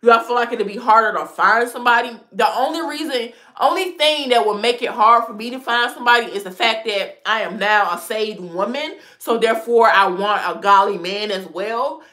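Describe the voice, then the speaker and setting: Woman in her thirties, stuffy nose, bright tense tone, frank chatty vlog talk. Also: on the high side, normal speed, hardly any pauses. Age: 30s. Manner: chatty, frank, laughs often, fills with "you know". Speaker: lifestyle vlogger. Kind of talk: casual chat answering viewer comments.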